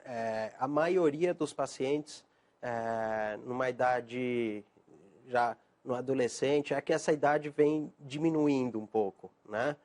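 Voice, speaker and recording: A man's voice: medium pitch, slow pace, darkish tone. A man from Argentina, a psychiatrist guest on a TV show, answering hesitantly with many fillers. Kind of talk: TV interview answers.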